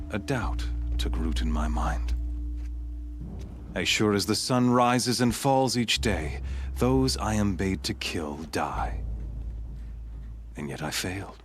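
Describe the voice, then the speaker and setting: Very deep, menacing zealot warrior. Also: male voice. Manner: grandiose, measured, ominous oratory. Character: menacing zealot warrior, fanatical champion of a sun cult. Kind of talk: dramatic video game acting.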